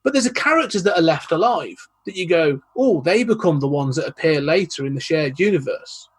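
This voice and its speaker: A man's voice: a little high, expressive, a little fast, slightly rough. A man chatting on a podcast with an enthusiastic manner and some hesitant restarts. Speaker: podcast co-host. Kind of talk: casual chat.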